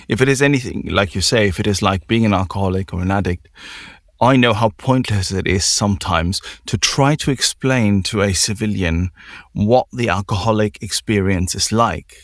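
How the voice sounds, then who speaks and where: A man hosting a recovery podcast, asking gentle questions and restarting his phrases often. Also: on the low side, no echo, studio quality. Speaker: podcast host. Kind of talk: empathetic interview.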